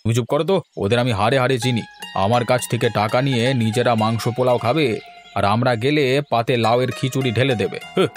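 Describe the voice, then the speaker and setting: A man playing a grumpy, stingy village husband in a fairy-tale cartoon, gruff, dismissive and argumentative. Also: mid-range voice, steady tone, fast talker, soft background music, close to an everyday voice.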